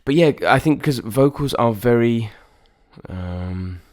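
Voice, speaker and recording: A man's voice: mid-range voice, animated, takes it slowly, a little mellow. A man with a nasal voice, a singer-songwriter rambling casually and self-deprecatingly about his album recording sessions. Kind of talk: reflective casual vlog talk.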